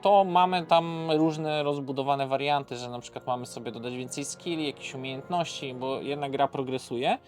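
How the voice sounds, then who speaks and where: A man's voice: medium pitch, normal speed, hardly any pauses, a little mellow. A man presenting a board game in an informal, unscripted way, conversational, posing questions and answering them himself. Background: background music.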